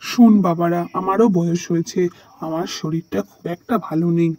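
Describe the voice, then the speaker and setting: An actor playing an ageing, ailing farmer father in an animated moral story, speaking earnestly and pleadingly to the children. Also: medium pitch, fairly quick, no room sound, close to an everyday voice, rough recording. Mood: weak, pleading.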